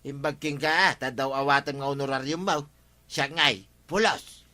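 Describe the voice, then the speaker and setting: A man's voice: medium pitch, fairly even intonation, moderate pace, bright, forceful tone, natural voice. A man playing a grumbling village woodseller in a comedy radio drama, exclaiming and complaining with an Ilocano accent. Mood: exasperated. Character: grumbling working village man who sells firewood.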